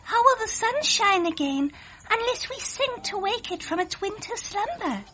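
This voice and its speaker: A woman's voice: very high voice, moderate pace, a little hiss or hum, voice pushed up, heavily acted. A woman voicing an earnest young village girl, worried yet determined, in a storybook reading. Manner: earnest, expressive.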